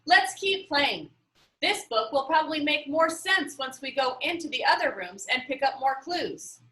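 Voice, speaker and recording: A woman reading as a chatty, confident boy from a children's storybook, wry and matter-of-fact. The voice is emphatic and animated, pitched high, in an echoey room. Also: moderate pace.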